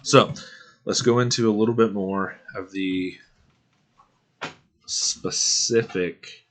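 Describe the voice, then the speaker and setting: A young man hosting a casual Bible study video, working through verses at an unhurried pace, often clearing his throat and saying um. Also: on the low side, fairly even intonation. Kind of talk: casual screen-share Bible study walkthrough.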